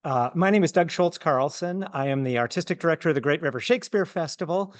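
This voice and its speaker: A man with a slightly high voice speaks as the host of an arts event. He addresses a gathering in a formal public-speaking way. The sound is dry, with little echo. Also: quick pace. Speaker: host, festival artistic director. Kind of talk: welcome speech at a poetry awards event.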